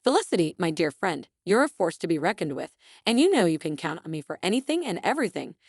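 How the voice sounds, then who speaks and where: A woman voicing a loyal, mischievous male friend in a story, with an excited, conspiratorial manner. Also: on the low side, fast talker, no room sound, clean studio sound. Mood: excited, supportive.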